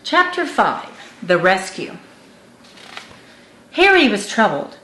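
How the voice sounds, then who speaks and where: A woman's voice: mid-range voice, fairly bright tone. A woman reading a scripted story aloud as an audiobook narrator. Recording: roomy, echoing room.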